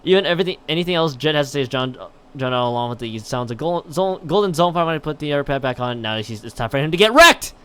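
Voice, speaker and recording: A man's voice: a little high, expressive, fast talker, hardly any pauses, a bit bright, smooth. A man livestreaming a visual novel, reading it aloud with stumbles and breaking in with casual, exclaimed reactions. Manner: stumbles over read text, exclaims and interjects his own reactions. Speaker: game streamer.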